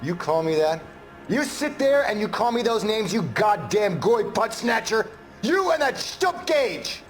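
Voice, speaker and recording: An actor playing an indignant, defiant shopkeeper held as a murder suspect in a video game, agitated and combative. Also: male voice, high-pitched, sing-song, a little slow, faint music behind. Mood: bitter, angry. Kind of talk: video game dramatic acting.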